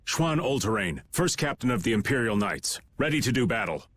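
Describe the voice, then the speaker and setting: An actor playing a weary middle-aged knight captain who speaks formally and calmly, resigned to his fate. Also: mid-range voice, a little fast, slight background noise. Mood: stoic. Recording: game audio with loud music.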